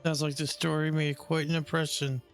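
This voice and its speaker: A man with a fairly high voice comments casually as he plays a video game. He speaks in brief reactive asides, with soft music in the background. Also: little pitch movement, normal speed, gravelly. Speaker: gamer commenting on playthrough.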